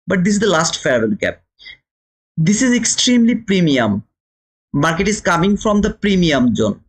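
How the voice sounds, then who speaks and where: A man's voice: sits high, very animated, normal speed, slightly rough. A man with a Bangladeshi accent hosting a live trading lesson, speaking emphatically to viewers, repeating phrases and posing rhetorical questions. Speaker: trading teacher and livestream host. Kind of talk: live instructional commentary, talking to viewers.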